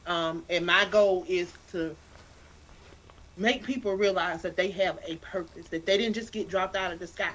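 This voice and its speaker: Bright-voiced South Carolina woman. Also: fairly low pitch, normal speed, poor audio. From Anderson, South Carolina. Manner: animated, repeats words while building a point, preacherly. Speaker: guest, literacy campaign founder. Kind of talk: casual interview talk.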